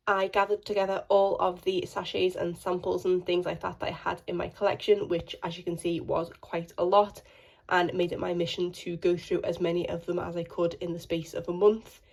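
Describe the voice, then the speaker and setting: Woman, croaky cold voice, husky, lowish pitch, rambling vlog chat. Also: darkish tone. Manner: apologetic, chatty, rambling. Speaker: beauty vlogger. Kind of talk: casual chat to camera. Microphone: phone held in hand.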